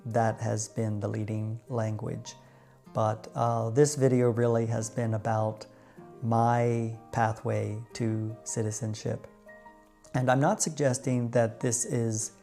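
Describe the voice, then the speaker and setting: A middle-aged man with an American accent tells his personal story to viewers in a measured, reflective way with deliberate pauses. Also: mid-range voice, gravelly, dark tone. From United States. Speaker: vlogger.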